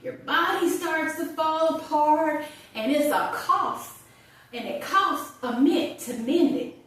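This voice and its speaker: A chatty, wry older woman, acted by a woman in a stage monologue, talks in a high, slow voice with conversational pauses. The room is very echoey around her. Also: fairly bright tone, far from the mic. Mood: wry, mock-gloomy. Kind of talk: theatrical audition monologue.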